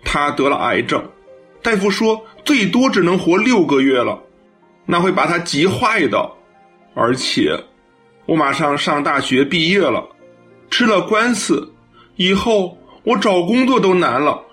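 一位年轻男演员在广播剧里饰演慌张诚恳、爱道歉的男大学生，说话结巴、带着恳求。. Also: male voice, a little high, big pitch swings, unhurried, fairly bright tone, soft background music. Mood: pleading. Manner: stammering, pleading. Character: flustered, earnest, apologetic male university student. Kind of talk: audio drama acting.

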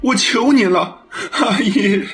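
一位年轻男演员在广播剧里饰演慌张诚恳、爱道歉的男大学生，说话结巴、带着恳求。. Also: male voice, high voice, takes it slowly, fairly bright tone, soft background music, heavily acted. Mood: pleading. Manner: stammering, pleading. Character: flustered, earnest, apologetic male university student. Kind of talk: audio drama acting.

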